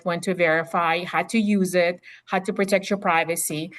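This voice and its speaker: A middle-aged woman from Ukraine with a Ukrainian accent, a nurse practitioner giving a webinar talk in a chatty way full of fillers and restarts, heard over a video call. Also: fairly low pitch. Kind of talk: webinar presentation.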